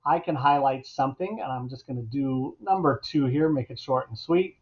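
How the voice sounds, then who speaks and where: A middle-aged man talks through a software demonstration in a casual teaching style. His voice sits at a medium pitch. The recording is slightly dull and lo-fi, as from a computer microphone. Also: moderate pace. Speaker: educator giving a software tutorial. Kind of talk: casual instructional screencast narration. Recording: dull, lo-fi computer mic sound.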